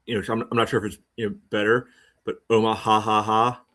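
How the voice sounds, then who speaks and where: American dude on a soccer pod, lowish voice, halting and measured, lots of filler. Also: male voice, level tone, sharp tone. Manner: halting, measured, peppered with "you know". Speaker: podcast host. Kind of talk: casual soccer analysis chat.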